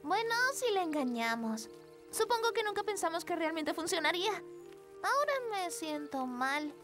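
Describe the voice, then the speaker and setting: An actress playing a friendly, honest little girl in a cartoon, sweet and expressive. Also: extremely high pitch, normal speed. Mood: guilty. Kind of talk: animated cartoon dubbing. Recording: music behind the voice.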